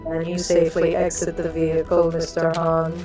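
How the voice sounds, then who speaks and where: A woman's voice: sits low, monotone, moderate pace, warm tone, faint music behind, voice pushed down, close to an everyday voice. Woman voicing an eerie toneless postal clerk creature. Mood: toneless, commanding. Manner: toneless, growling, commanding. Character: unearthly postal clerk thing speaking through a human woman.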